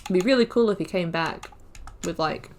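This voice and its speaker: Chatty woman reading game lore, low voice. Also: normal speed, a little mellow, slight background noise. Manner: chatty, trails off and restarts mid-sentence. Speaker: gaming video host. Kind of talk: reading game lore aloud with casual commentary.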